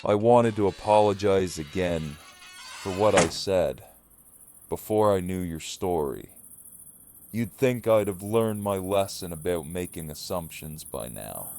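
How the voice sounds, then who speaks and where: A grim leader of survivors , acted by a man for an audio drama. His voice sits very low and he talks slowly, weighing his words with wary intensity. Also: lively intonation, a little mellow, a little hiss or hum. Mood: apologetic, probing.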